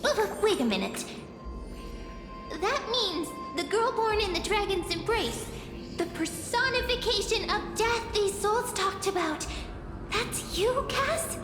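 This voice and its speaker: A woman playing an excitable, childlike little companion in a fantasy game, expressive and exclamatory. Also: very high-pitched, unhurried. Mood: startled, astonished. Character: excitable, childlike, high-voiced small companion. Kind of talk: scripted fantasy game voice acting. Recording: echoey, music behind.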